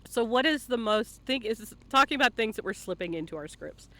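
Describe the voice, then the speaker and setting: Bright, high-pitched man hosting. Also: lively intonation, a little fast. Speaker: interview host. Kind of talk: casual live-stream interview.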